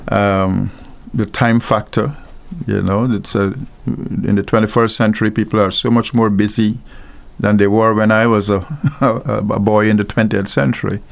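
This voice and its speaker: An older pastor from Guyana talks slowly and without hurry on a radio call-in show. His low voice is gravelly with fry, and he wanders between thoughts with ums and soft laughs. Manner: unhurried, rambling with many ums and small laughs. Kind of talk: unscripted radio talk.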